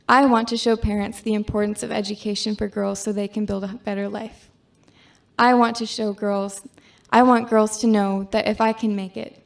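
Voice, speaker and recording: A woman reads her own nonfiction aloud at a student event. Her delivery is even and flat in pitch, with a gravelly edge of fry. She keeps it measured and earnest. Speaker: student reader. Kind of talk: reading narrative nonfiction aloud.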